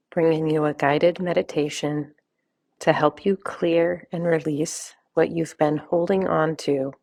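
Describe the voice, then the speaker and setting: Female meditation guide, low register with noticeable vocal fry, gentle step-by-step instruction. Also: no echo, clean studio sound. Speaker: meditation guide, wellness coach.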